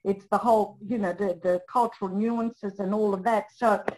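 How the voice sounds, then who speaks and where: A woman's voice: medium pitch, fairly even intonation, normal speed, darkish tone, low-quality recording. A Greek-born woman with a mid-range voice, Greek her first language, speaks through a remote call. She talks in a loose, reflective way, often restarting her sentences. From Greece. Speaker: aged care professional and family carer. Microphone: remote call without camera.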